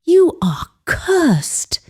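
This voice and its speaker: A woman voicing a solemn old male herbalist in a folktale, gravely admonishing. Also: very high-pitched, wildly swooping pitch, extremely slow, darkish tone, pitched above the performer's own voice, full character voice. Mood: fearful, grave.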